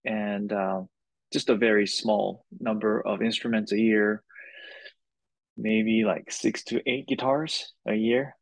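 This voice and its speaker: A man from Korea, guest on a chat podcast, talks through his answers at an unhurried pace in short, paused phrases. His voice sits on the low side and is recorded close and dry, with no room sound. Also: fairly even intonation, gravelly, no echo, poor audio. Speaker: guitar maker, guest. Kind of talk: casual interview answers.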